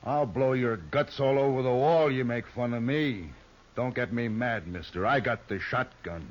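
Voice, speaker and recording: An actor playing a menacing, bullying outlaw leader in an old-time radio western, with an American accent and folksy, taunting threats. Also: male voice, medium pitch, moderate pace, close to an everyday voice. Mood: threatening. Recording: dull, noisy old radio recording.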